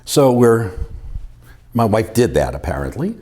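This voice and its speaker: A man telling a wedding memory in an animated, halting way. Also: lowish voice. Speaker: interviewee. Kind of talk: casual personal storytelling.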